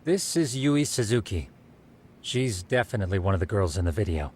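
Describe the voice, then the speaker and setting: An actor playing a precise, bookish researcher in an English game dub, speaking formally and methodically. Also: male voice, fairly low pitch, big pitch swings, brisk, a little mellow, a little hiss or hum. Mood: analytical. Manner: formal, exact, explanatory. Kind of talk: English video game dub cutscene acting.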